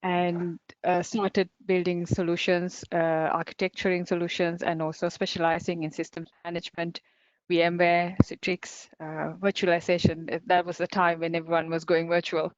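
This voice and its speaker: A woman's voice: on the low side, little pitch movement, normal speed, no echo. Upbeat Sri Lankan woman on a video call. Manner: chatty and upbeat, many fillers and small laughs. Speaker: guest speaker, cybersecurity company director. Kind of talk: online conference talk. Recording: dull lo-fi video call audio.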